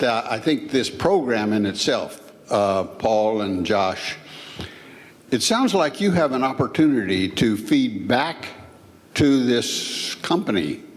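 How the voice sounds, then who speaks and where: A man politely and hesitantly asking a panel a question. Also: mid-range voice. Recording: hall reverb.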